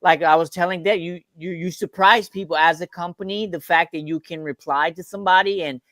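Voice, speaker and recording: A man's voice: sits high, steady tone, a little fast, fairly bright tone, no echo. A man in casual conversation, talking in slangy phrases and repeating himself for emphasis. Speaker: company team member on a panel. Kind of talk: casual chat.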